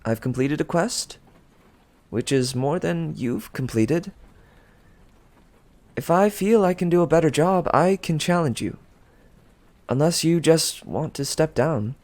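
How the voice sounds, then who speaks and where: A man's voice: fairly high pitch, expressive, measured pace, long pauses, a little mellow, pitched above the performer's own voice. A man voicing a confident teenage storybook heroine with a bold, breezy, taunting manner. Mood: smug, assured. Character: confident, cheerfully defiant teenage girl heroine.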